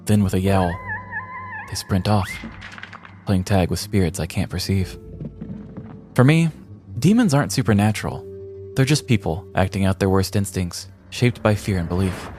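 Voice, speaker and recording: A man's voice: deep voice, expressive, moderate pace, pauses often, darkish tone, soft background music. An American man from Missouri co-hosting a podcast, moving between casual chat and scripted storytelling. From small-town Missouri, US. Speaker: podcast co-host.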